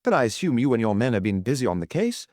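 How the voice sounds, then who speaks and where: A man's voice: medium pitch, very animated, fast talker, darkish tone, no room sound, clean studio sound, lightly acted. A man voicing a young, formal, probing examining magistrate in an audiobook.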